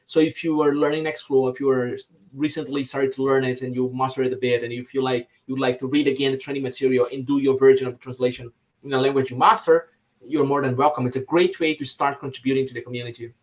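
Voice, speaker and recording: A man's voice: mid-range voice, rough recording. A man from Brazil whose first language is Portuguese, speaking as a podcast guest with enthusiasm, often repeating words as he searches for phrasing. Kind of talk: casual podcast discussion.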